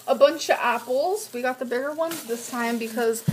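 A young woman showing her groceries in a casual home vlog, chatty and reading off nutrition numbers in a flat list, recorded on a webcam in her kitchen. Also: high-pitched, normal speed, slight background noise, poor audio. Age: young adult. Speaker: vlogger. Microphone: built-in webcam mic at desk distance.

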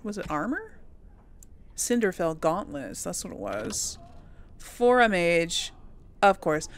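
A woman's voice: on the low side, expressive, takes it slowly, slight background noise. A woman hosting a casual game playthrough, thinking aloud hesitantly and murmuring to herself as she goes. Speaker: gaming video host.

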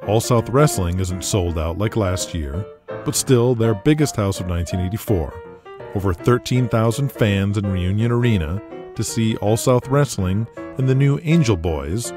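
A low-voiced man narrates an audio drama in a measured, storytelling way. Guitar music plays clearly underneath his voice.

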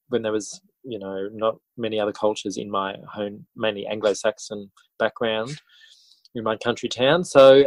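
A man in his forties with an Australian accent, talking as a guest in a casual podcast interview in a halting, reflective way. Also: lowish voice, dry recording, poor audio. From country New South Wales, Australia. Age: 40s. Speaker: interview guest, schoolteacher and author.

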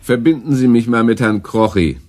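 An actor playing an imperious, irritable director in a radio play, brusque and commanding. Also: male voice, mid-range voice, lively intonation, moderate pace, a little mellow, lightly acted.

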